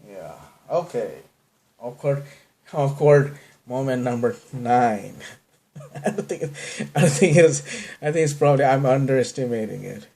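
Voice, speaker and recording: A man's voice: mid-range voice, lively intonation, measured pace, creaky, pauses often, darkish tone. A man from Bangladesh with a Bangladeshi accent, hosting a podcast in a rambling, self-deprecating monologue that keeps trailing off and restarting. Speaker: podcast host.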